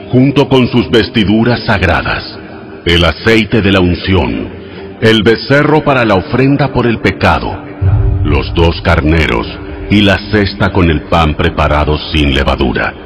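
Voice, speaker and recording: Audio Bible drama: God, low-pitched, solemn and authoritative, giving law over prominent music. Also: male voice, very animated, measured pace, heavily acted. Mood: solemn, commanding. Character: God, solemn commanding male divine lawgiver.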